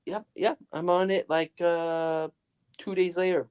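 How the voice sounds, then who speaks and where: A man's voice: high voice, flat delivery, measured pace, a little mellow, clear, resonant tone. A man chatting casually on a livestream, often stammering and repeating words as he gets going. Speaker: livestream co-host. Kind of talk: casual chat.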